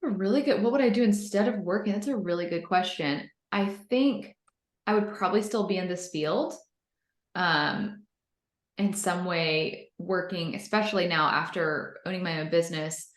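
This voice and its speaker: American woman, 30s, kinda low voice, chatty n enthusiastic interview guest, echoey room. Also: moderate pace. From North Carolina, United States. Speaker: interview guest, business founder. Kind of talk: conversational interview answers. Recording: roomy, echoey sound.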